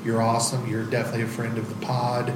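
A man's voice: mid-range voice, monotone, fast talker, roomy sound, very noisy. A congested man co-hosting a casual podcast in a basement, joking and laughing often. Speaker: podcast co-host. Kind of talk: casual chat.

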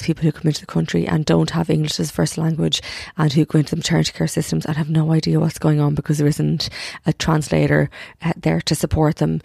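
Lady hosting a podcast, fast and chatty, kinda fry-ish voice. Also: sits low, no echo, clean studio sound. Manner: chatty, warm-hearted, quick asides and laughs. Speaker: podcast host. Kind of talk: casual podcast intro and interview.

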